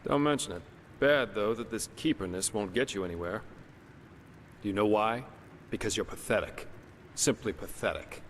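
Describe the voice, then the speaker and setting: A male actor plays an offhand companion in a fantasy game. His voice sits a little low and his delivery is conversational. It rings in a hall, with faint noise underneath. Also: normal speed, long pauses, natural voice. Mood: mocking. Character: casual, friendly-seeming male companion turning contemptuous.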